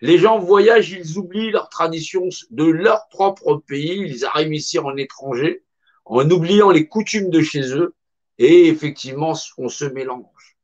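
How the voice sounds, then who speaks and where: A man's voice: fairly high pitch, unhurried. Impassioned man, dull lo-fi recording. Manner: emphatic, repetitive, colloquial. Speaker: speaker. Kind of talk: impassioned monologue.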